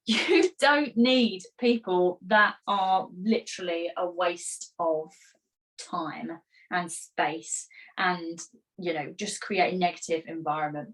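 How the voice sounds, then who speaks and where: A woman's voice: fairly low pitch, takes it slowly, rough recording. Upbeat woman coaching performers. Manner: energetic and encouraging, chatty with many fillers; motivational, rhetorical questions. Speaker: musical theatre career coach. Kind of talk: live online masterclass, reading chat comments.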